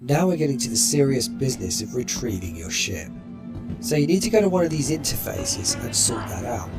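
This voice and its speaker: Gravelly man narrating a walkthrough. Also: mid-range voice, sing-song. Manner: measured, matter-of-fact guide. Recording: music playing behind.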